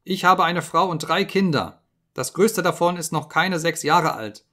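A man's voice: a little slow, fairly bright tone. A man voicing a shrieking, terrified prisoner. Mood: desperate. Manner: shrill, frantic pleading and screaming. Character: terrified emaciated male prisoner, begging wildly.

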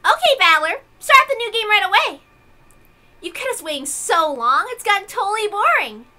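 A woman voicing a bratty, playful, impatient girlish witch with a shrill, peppy delivery in a visual novel. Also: sing-song, normal speed, bright, forceful tone, exaggerated voice.

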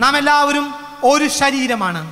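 A man's voice: extremely high pitch, animated, a little slow, loud music behind the voice. A man interpreting a sermon phrase by phrase in a formal manner at a podium mic. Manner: formal, follows each phrase closely. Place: large open-air convention tent. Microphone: stand mic at podium. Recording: long hall reverb from PA.

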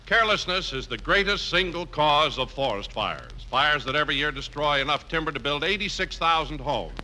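A man's voice: on the high side, lively intonation, a little fast, pushed and bright, poor audio. An American man announcing in a scripted 1950s radio style as a program announcer.

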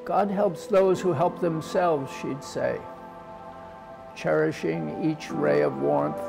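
Man in his sixties, heavy creak, measured poetry reading, solemn, deliberate short phrases. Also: fairly high pitch. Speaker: poet. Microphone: lapel.

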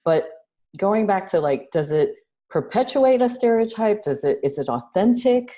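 A middle-aged woman from New City, a guest on a video call, telling stories in a lively, animated way. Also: mid-range voice, normal speed, dull audio. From New York City. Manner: animated storyteller, gestures a lot, laughs. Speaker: guest, social entrepreneur. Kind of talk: casual interview chat. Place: home room indoors. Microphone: video call webcam.

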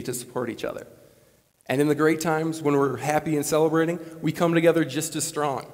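A man in his late twenties from Wisconsin giving an earnest, conversational commencement speech on a lapel mic. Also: on the high side. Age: late 20s. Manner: earnest, conversational, animated gestures. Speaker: commencement speaker. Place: large school gymnasium, on stage. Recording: long gym reverb.

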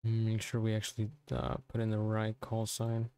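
A man narrating a software tutorial informally, thinking aloud step by step into a close mic. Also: fairly low pitch, completely flat delivery, normal speed, vocal fry, smooth, no room sound. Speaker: tutorial presenter.

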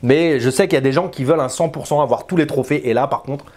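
A man's voice: medium pitch, quick pace, darkish tone. A man in his thirties giving a casual video game review to camera in an informal, chatty way, often repeating and correcting himself. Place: small indoor room with bookshelves. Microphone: lapel mic.

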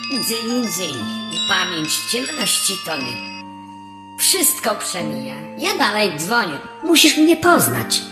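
Echoing, chiming little clock gnome played by a woman. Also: fairly high pitch. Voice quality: delicate, bell-like little voice. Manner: sing-song rhymed incantation. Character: tiny grey-haired male clock gnome, time's solemn chiming messenger. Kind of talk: audio drama fairy-tale acting. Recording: heavily reverberant, with loud chimes. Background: music and tinkling chimes.

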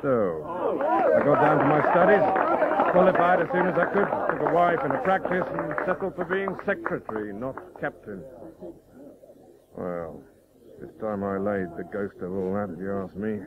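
An actor playing a proud, rueful middle-aged country doctor and cricketer in a radio play, with a measured manner. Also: male voice, fairly high pitch, expressive, heavy background noise. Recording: tinny radio broadcast, crowd behind.

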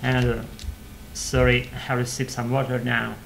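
A man in his thirties whose first language is not English rambles to a webcam mic in a small room at home. His delivery is halting and flat in tone, with many fillers, restarts and faint hiss behind him. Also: mid-range voice, gravelly. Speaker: channel host practicing English. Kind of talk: casual rambling monologue to webcam. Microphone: webcam microphone at desk.

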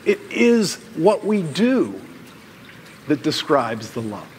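A middle-aged American man, a pastor preaching a sermon in deliberate, emphatic phrases with pauses. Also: fairly high pitch, sing-song, darkish tone. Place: church room with stained glass windows, indoors. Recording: long hall reverb, some background noise.

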